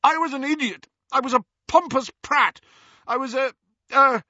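A man's voice: a little high, sing-song, normal speed, a bit bright, husky, dry recording, lo-fi sound, pitched above the performer's own voice, full character voice. Man acting a flustered, earnest young man. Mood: anguished. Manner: spluttering, earnest.

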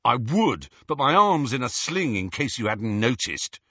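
A man's voice: medium pitch, big pitch swings, a little slow, fairly bright tone, breathy edge, no room sound, highs cut off, full character voice. A man voicing an irritable, sarcastic, hungry teenage wizard boy in an audiobook story. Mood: angry. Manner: irritable, snarling.

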